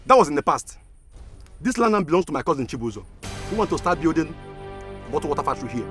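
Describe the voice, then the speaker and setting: A man's voice: fairly high pitch, very animated, fast talker, fairly bright tone, faint music behind. An actor with a Nigerian accent playing a curt, bullying man in a film drama, brusque and commanding. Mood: confrontational. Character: curt, bullying man ordering a family off land.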